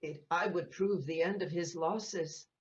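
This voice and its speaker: A woman playing a gossiping, needling Venetian townsperson in a Shakespearean audio play, with measured, halting delivery. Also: on the low side, lightly acted. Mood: gossipy concern. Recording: muffled, echoey room recording.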